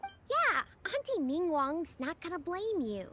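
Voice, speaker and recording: A woman's voice: extremely high pitch, sing-song, slow, fairly bright tone, slight background noise, heavily acted. A woman voicing an eager, confident, friendly young child in a video game, chirpy and self-assured. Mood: concerned, reassuring.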